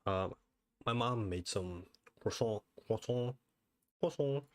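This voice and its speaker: A man's voice: fairly low pitch, slow pace, no room sound. A man chatting casually on a gaming livestream, rambling with wry asides. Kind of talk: casual gaming stream chat. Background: game music.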